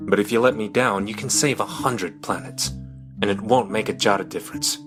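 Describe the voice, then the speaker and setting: A man playing a firm but fair robot ship captain in an audio drama, speaking with measured authority. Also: fairly low pitch, over music, natural voice. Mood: stern.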